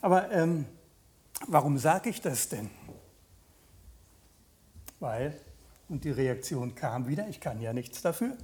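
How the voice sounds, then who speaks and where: Male preacher, late 50s, voice a little high, conversational sermon delivery with direct questions and mid-sentence pauses. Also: very animated, darkish tone.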